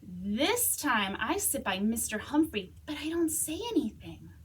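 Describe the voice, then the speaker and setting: A woman reading a children's story aloud as a storytime reader, expressively, with dramatic pauses and whispers. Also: fairly high pitch, sing-song, husky. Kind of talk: animated children's read-aloud.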